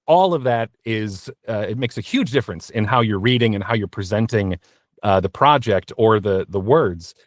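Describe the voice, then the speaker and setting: A man's voice: mid-range voice, dry recording. Male interview guest, conversational and practical, explains things plainly, close dry recording. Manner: practical, explanatory advice-giving.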